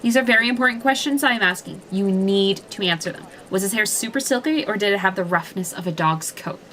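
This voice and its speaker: A woman voicing an excitable, gossipy teenage schoolgirl who fires off eager questions. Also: mid-range voice, slight background noise, natural voice. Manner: gushing, eager rapid questions.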